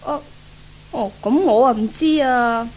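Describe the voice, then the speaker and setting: A woman's voice: a little high, a little slow, a little mellow. An actress playing a spoiled, willful young woman in a 1970s radio drama, haughty and mocking, with a Hong Kong accent. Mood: coy, breezy. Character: spoiled, willful, thrill-seeking young woman, doted-on only daughter.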